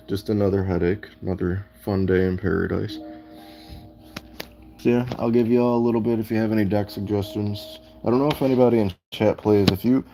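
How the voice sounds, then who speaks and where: A man with a very low, gravelly, raspy voice talks in a relaxed, chatty way as he streams a game and reads out viewer comments. Music plays clearly in the background. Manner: laid-back, chatty, reads out chat comments. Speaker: gaming streamer. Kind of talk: casual live gameplay commentary.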